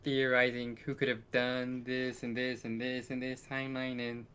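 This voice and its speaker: A man's voice: medium pitch, monotone, normal speed, fairly bright tone, a little hiss or hum. A man talking casually on a podcast about games as a co-host.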